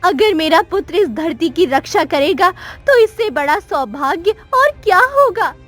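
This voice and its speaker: A woman plays a childless queen in a cartoon tale, pleading and devout. The voice sits high and moves slowly, with earnest, formal, plaintive phrasing. Music plays underneath. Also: animated, fairly bright tone, full character voice. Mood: awed. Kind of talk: melodramatic Hindi cartoon story acting.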